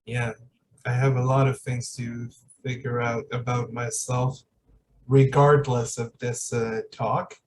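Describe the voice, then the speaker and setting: Slow, hesitant man with faint background noise. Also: mid-range voice, flat delivery, distant mic, rough recording. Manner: hesitant, searching for words. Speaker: podcast host. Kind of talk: casual reflective conversation.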